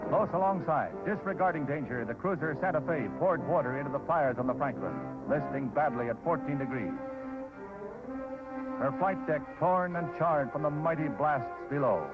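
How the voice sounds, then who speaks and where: A man's voice: on the high side, lively intonation, normal speed. Tinny vintage newsreel man, solemn American narrator. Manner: solemn, declamatory. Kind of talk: dramatic scripted newsreel narration. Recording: tinny vintage newsreel soundtrack, loud music.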